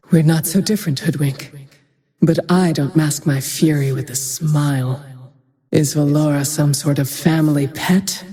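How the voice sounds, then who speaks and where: A cold, haunted huntress from a fantasy video game, speaks in a dark, mellow, breathy tone. Her delivery is slow and deliberate, deadpan with quiet menace. A long reverb trails her vengeful lines. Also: low voice, heavily acted. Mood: brooding, contemptuous.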